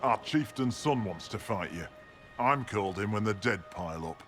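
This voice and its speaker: Guy doing a stoic barbarian healer in a game, low and gruff, terse, faint music under it. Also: animated, normal speed, close to an everyday voice. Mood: gruff, solemn. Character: stoic barbarian mountain healer, gruff, devout, proud, scornful. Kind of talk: video game dialogue.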